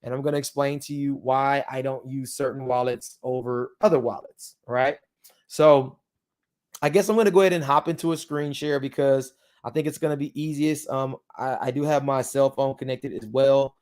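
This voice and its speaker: A man's voice: mid-range voice, steady tone, moderate pace, darkish tone. A man hosting a casual tutorial for his viewers, his speech slightly thickened by dental aligners, rambling with many ums and you-knows. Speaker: crypto channel host.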